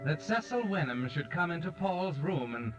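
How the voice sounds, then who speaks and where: An actor playing a knowing, sardonic mystery narrator, measured and dramatic, in 1940s radio style. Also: male voice, a little high, sing-song. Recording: dull vintage radio, music underneath. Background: dramatic music.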